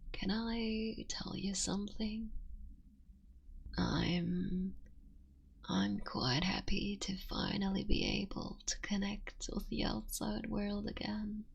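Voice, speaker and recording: Slow, breathy, whispering kitsune witch played by a woman. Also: medium pitch, muffled recording, heavily acted. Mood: tender, grateful. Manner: slow, intimate, soft-spoken with breathy whispers. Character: affectionate eight-tailed kitsune witch, playful, wistful fox woman. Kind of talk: whispered ASMR roleplay.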